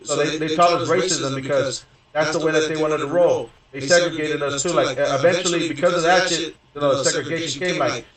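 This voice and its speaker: A young man with a somewhat high voice tells a long personal story and talks on casually, swearing and circling back with "you know what I mean." He sits well back from the mic, and the room rings with heavy echo. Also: fairly even intonation, a little fast, a bit bright. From Houston, Texas. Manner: profane, rambling, many fillers and restarts. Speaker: gaming streamer. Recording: very echoey room, distant from mic.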